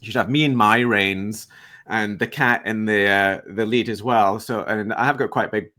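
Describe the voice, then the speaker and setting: Deep-voiced Scottish man in his fifties. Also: steady tone, pushed and bright. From west coast of Scotland. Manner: self-deprecating, halting and reflective. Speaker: guest, entrepreneur and coach. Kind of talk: casual interview chat.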